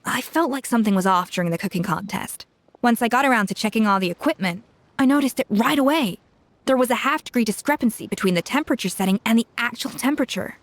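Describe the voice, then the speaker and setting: An actress playing a proud, brilliant chef who mentors bluntly and scolds with exasperation in a game cutscene. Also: female voice, a little high. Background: faint game music.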